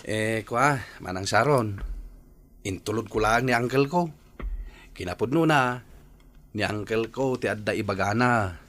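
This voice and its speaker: An actor playing an earnest young nephew in a radio drama, with a conversational, coaxing way of talking. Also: male voice, fairly low pitch, measured pace, pauses often, fairly bright tone. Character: earnest young nephew nudging his shy uncle. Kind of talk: radio drama acting in Ilocano.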